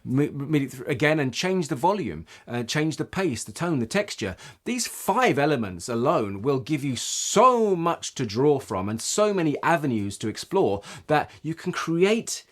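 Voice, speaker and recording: A man presenting an instructional tutorial as a voice coach, explaining in an expressive, emphatic way. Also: on the high side, unhurried, few pauses.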